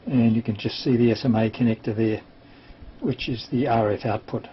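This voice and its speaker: A man's voice: mid-range voice, fairly even intonation, normal speed. An Australian man giving an unscripted technical demonstration, explaining things in a hesitant, matter-of-fact way. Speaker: amateur television operator and presenter. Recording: dull lo-fi sound with clear hiss.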